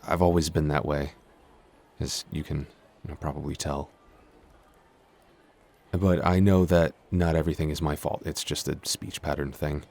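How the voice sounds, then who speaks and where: A man's voice: a bass voice, fairly even intonation, moderate pace, vocal fry, long pauses, darkish tone. A man playing a shy, clumsy giant in a roleplay audio, stammering, hesitant and constantly apologetic. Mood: bashful, reflective. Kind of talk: intimate roleplay audio acting.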